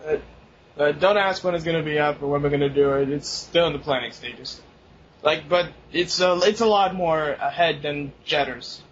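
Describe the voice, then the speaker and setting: A man's voice: on the high side, normal speed. A young man co-hosting a casual podcast, rambling, swearing and laughing often. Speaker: podcast co-host. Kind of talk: casual chat. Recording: dull lo-fi recording, faint noise.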